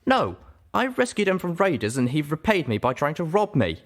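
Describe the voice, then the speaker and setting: A man's voice: a little high, very animated, normal speed, voice pushed up. A man voicing an indignant young female pony adventurer who protests earnestly in an audiobook story.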